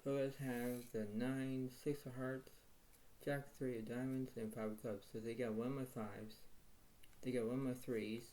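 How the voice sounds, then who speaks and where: A man with a soft, quiet voice commenting on his own poker hands in a halting way, repeating himself and saying "wow". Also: mid-range voice, flat delivery, rough recording. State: slight tickle in throat. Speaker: poker video host. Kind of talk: casual play-by-play commentary.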